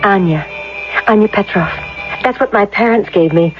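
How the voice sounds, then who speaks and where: A woman's voice: mid-range voice, moderate pace, highs cut off, close to an everyday voice. An actress playing a lonely, wistful, vulnerable young woman in a 1970s radio drama. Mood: shy, intrigued. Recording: band-limited old radio broadcast with music.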